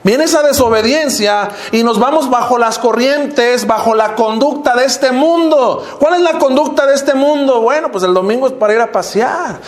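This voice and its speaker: An older man with a Mexican accent preaches a sermon, his voice sitting fairly high. He keeps addressing his listeners as brothers and lets his pitch sink at the close of each phrase. The sound carries the echo of a roomy church hall. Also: normal speed, hardly any pauses. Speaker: minister.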